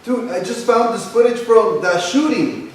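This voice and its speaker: A man's voice: very high-pitched, normal speed. A man playing a hesitant, contrarian young friend in casual dialogue at a staged reading. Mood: hesitant, arguing. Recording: echoey hall, distant mic, faint noise.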